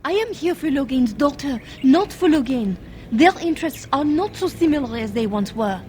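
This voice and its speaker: A woman's voice: high voice, animated, normal speed, a little mellow, slight background noise. An actress playing an earnest, worried young handmaiden to a queen in a fantasy video game, telling things carefully and urgently. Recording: game audio with music underneath.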